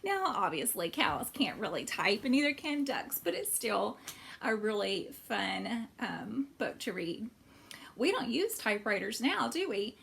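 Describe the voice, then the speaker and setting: A woman hosts a children's storytime in a fairly high, very animated voice. She explains things simply and asks young listeners questions, with creak in her voice. There is faint noise in the recording. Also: measured pace. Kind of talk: animated storybook read-aloud and craft talk for children.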